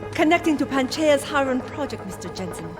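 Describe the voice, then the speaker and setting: A woman's voice: high voice, very animated, a little fast, music in the background. A woman playing an ambitious, haughty corporate schemer with a grandiose way of talking. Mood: smug. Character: ambitious, imperious female corporate villain, smug schemer.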